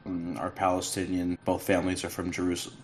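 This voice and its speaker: A man from the United States, a podcast guest, speaking haltingly with many restarts over remote call audio that cuts in and out. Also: low voice, completely flat delivery, a little hiss or hum. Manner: halting, with frequent restarts and fillers. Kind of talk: casual podcast chat.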